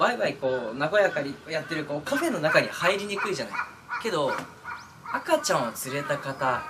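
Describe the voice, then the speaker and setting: A man hosting his own channel, talking casually to his followers with drawn-out hesitations and asides that seek agreement. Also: on the high side, noisy background. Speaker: online voice-drama creator and channel host. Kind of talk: casual monologue to camera, addressing followers.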